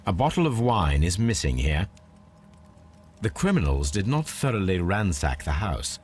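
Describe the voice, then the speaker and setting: An actor playing a composed, analytical Victorian English gentleman detective in a video game, musing precisely and deliberately. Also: deep voice, very animated, a little hiss or hum.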